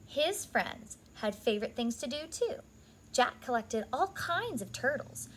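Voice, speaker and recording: Higher-pitched woman reading a children's story. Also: sing-song, unhurried, slight background noise. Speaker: childcare educator reading a picture book. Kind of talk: expressive read-aloud storytelling for young children.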